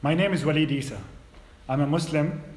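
A man's voice: a little high, brisk, a little mellow. A young man from Palestine, speaking as a guest, starting hesitantly with fillers and then telling his story steadily. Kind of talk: prepared personal talk. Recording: echoey hall recording with faint hum.

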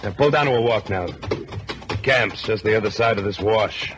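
An actor playing a tough, steady rancher hero who narrates a radio western in a terse, commanding manner. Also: male voice, lowish voice, moderate pace, noisy background, natural voice. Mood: calm, resolute. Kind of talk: 1950s radio western acting. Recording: vintage radio drama recording with music.

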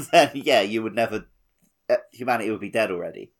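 A man's voice: fairly low pitch, lively intonation, brisk. A man co-hosting a gaming podcast, thinking aloud in a colloquial, analytical way. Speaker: podcast co-host. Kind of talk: casual gaming podcast chat.

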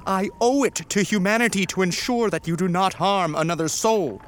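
A man's voice: high voice, normal speed, a little hiss or hum, close to an everyday voice. A man playing a resolute, wary ship captain in a radio play, with a commanding, dramatic delivery. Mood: stern, conflicted.

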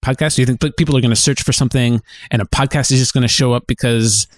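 A man with an average-pitched voice chats as a podcast co-host. His phrasing is loose and informal, like talk between friends. The recording is dry and free of room echo. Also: steady tone, racing. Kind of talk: casual chat.

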